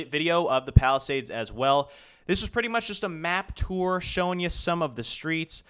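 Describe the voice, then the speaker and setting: A man's voice: mid-range voice, fairly bright tone, clean tone. A young man, a real estate agent, talking loosely through a map tour of a neighborhood with casual fillers and restarts.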